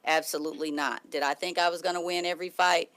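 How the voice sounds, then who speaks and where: A woman's voice: lowish voice, fairly even intonation, quick pace, a bit bright. A woman hosting a talk show, emphatic and speaking straight to her listeners. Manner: emphatic, addresses listeners directly.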